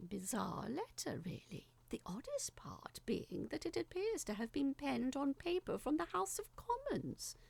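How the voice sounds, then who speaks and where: A woman's voice: sits high, sing-song, measured pace, vocal fry, few pauses, a little mellow, no echo, pitched above the performer's own voice, exaggerated voice. A woman playing a wry, slightly fussy character who muses conversationally in a scripted monologue. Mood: bemused, mildly disapproving. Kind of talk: scripted audio drama monologue.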